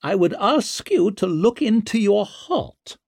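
An imperious older noblewoman from a fantasy audiobook, acted out by a man. The voice is pitched high and swoops widely, with a sharp, haughty edge. Words are stretched with menacing emphasis. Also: sing-song, gravelly, no room sound, studio quality, exaggerated voice. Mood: haughty, threatening. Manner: haughty, drawn-out emphatic delivery. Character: imperious aristocratic older mother, shrill, menacing, pleading.

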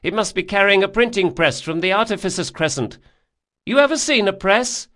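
A man's voice: high voice, animated, moderate pace, fairly bright tone, voice pushed up. A man voicing a blunt, chatty working boatman in an audiobook, colloquial and opinionated. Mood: excited, explaining. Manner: colloquial, dropped words, exclamatory.

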